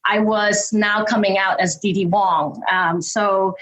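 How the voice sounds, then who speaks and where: A woman from Hong Kong with an English accent, telling her life story quickly and brightly as an interview guest. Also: mid-range voice, rough recording. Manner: quick, lively storytelling with frequent laughs.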